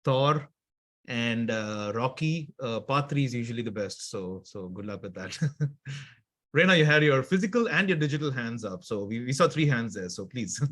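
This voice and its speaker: A man's voice: mid-range voice, lively intonation, moderate pace, fairly bright tone. A man hosting an interactive online workshop over a video call, chatty, with stammered restarts and easy laughter. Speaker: workshop host.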